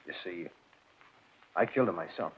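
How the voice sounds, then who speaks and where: A cold, matter-of-fact police lieutenant with a hidden killing behind him. The voice is very deep, with the tinny sound and faint hiss of an old radio transcription. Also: male voice, moderate pace. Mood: cold calm. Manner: calm, low, matter-of-fact. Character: cold, calculating police lieutenant hiding a murder. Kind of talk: 1940s radio drama acting.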